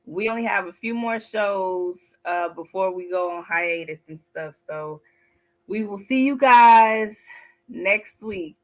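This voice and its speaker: A woman co-hosting a casual sports talk show, chatty and quick to laugh. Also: medium pitch, low-quality recording. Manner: chatty, laughs often, drawn-out asides. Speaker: co-host.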